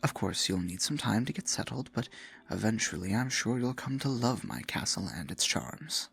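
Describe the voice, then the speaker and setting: A man playing a husky, possessive dark sorcerer. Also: very animated, no echo, soft background music, full character voice. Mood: silky, menacing courtesy. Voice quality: low, husky, gravelly. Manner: slow, theatrical, breathy murmuring with mock-sweet endearments. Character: possessive male dark sorcerer, theatrical, silkily menacing captor. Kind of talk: ASMR fantasy audio roleplay.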